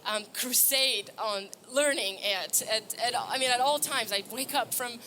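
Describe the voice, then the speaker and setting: A woman's voice: on the high side, measured pace, pushed and bright, a little hiss or hum. A young woman from Bulgaria giving an upbeat stage talk at a conference, earnest, laughing often, with many fillers and restarts. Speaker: conference speaker. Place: conference stage before an audience.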